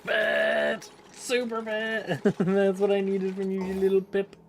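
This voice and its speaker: A man's voice: sits high, level tone, takes it slowly, gravelly, a little hiss or hum. A man giving casual gameplay commentary, playfully thinking aloud and breaking into exclamations. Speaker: gaming video host.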